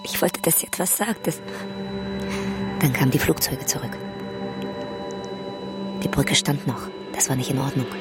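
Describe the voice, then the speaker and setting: An actress in a radio drama playing a grieving woman who survived a bombing, telling it in a quiet, halting, hushed way. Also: rumbling low, over loud music. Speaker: woman recounting a wartime air raid.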